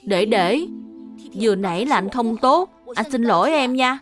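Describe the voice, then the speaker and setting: Eager high-voiced little boy. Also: expressive, a little slow, soft background music. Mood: earnest, apologetic. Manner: earnest, childlike. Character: eager naive little boy, older brother. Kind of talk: Vietnamese dubbed children's cartoon acting.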